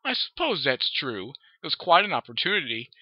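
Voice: medium pitch, very animated, fairly quick, slightly rough, no echo, voice pushed up. A reader voicing a condescending mother mare pony who talks down in sugary baby talk, on a dull, dry lo-fi recording. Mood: patronizing.

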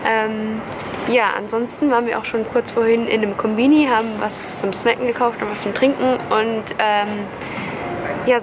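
Excited young woman vlogging on the go. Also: on the high side, background noise. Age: young adult. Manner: chatty and excited, giggly. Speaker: travel vlogger. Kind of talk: casual travel vlog. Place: airports, plane cabin and street. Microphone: camera held at arm's length.

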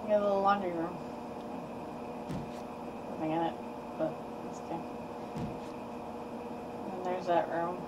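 A woman chatting casually while playing a game, rambling and quick to laugh. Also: fairly low pitch, steady tone. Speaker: game commentator. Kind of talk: casual let's-play chat. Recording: distant mic with loud background noise.

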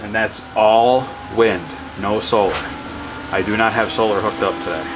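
A man casually explaining his wind turbine setup in a workshop, rambling and correcting himself with excitement. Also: fairly low pitch, normal speed. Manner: enthusiastic, rambling, self-correcting. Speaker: hobbyist wind power vlogger. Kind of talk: casual off-the-cuff demo narration.

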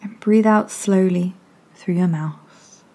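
A woman softly and gently guiding a meditation in a calming, breathy voice with long pauses. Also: fairly low pitch. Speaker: meditation guide. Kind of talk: guided meditation, soothing scripted narration.

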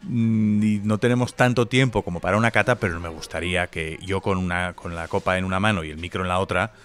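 A man's voice: low voice, steady tone, a little fast, a bit bright, soft background music. A man hosting a casual podcast interview, reflective and chatty, often tagging his thoughts with little questions. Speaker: podcast host. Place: wine venue indoors.

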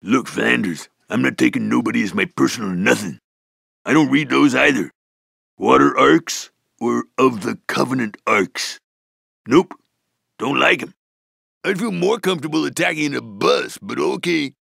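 An actor with an American accent playing a laid-back stoner metalhead school bus driver in a cartoon video game, with a raspy slacker drawl. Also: male voice, medium pitch, a bit bright, no echo, studio quality, exaggerated voice. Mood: mellow, spaced-out. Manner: spaced-out slacker slang, drawn-out words.

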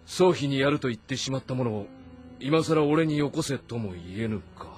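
A man playing a proud, brooding middle-aged warlord in a historical game drama, speaking with deliberate authority. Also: mid-range voice, expressive, natural voice. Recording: voice over background music.

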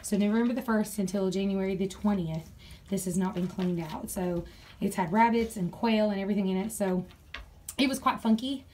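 A chatty woman talks her way through barn chores, rambling and laughing as she goes. Her voice sits at an ordinary middle pitch. It is captured on a handheld phone outdoors, with clear background noise around her. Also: moderate pace, a little mellow. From Tennessee, USA. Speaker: homestead vlogger. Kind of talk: casual chat while doing farm chores. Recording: noisy outdoor phone recording.

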